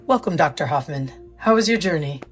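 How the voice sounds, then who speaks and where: A woman playing an authoritative scientist in an audio drama, explaining things in a formal, measured way. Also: low-pitched, lively intonation, slightly rough, faint music behind. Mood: formal, composed. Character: authoritative, formal female scientist briefing a newcomer. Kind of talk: scripted audio drama dialogue.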